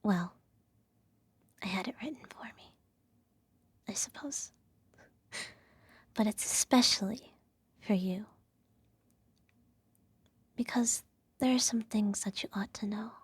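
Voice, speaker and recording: Woman as a slow, whispering, breathy evil empress. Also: medium pitch, dry recording. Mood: doting, coaxing. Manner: regal, measured, gently condescending. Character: self-righteous evil empress soothing her child at bedtime. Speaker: ASMR roleplay performer. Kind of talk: whispered ASMR bedtime-story roleplay.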